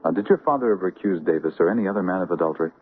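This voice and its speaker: An actor playing a fatherly, reasonable and gently skeptical man in a radio drama. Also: deep voice, racing, lightly acted. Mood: reasoning, exasperated. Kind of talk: 1970s radio drama acting. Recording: muffled, hissy old radio recording.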